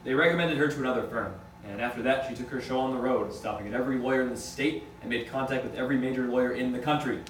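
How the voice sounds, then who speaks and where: Man playing a brisk, rattled rich businessman, echoey. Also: medium pitch, lightly acted. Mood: wry. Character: wry, rattled rich young businessman suspected in wife's disappearance. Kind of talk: amateur script table read. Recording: echoey room, distant mic, faint noise.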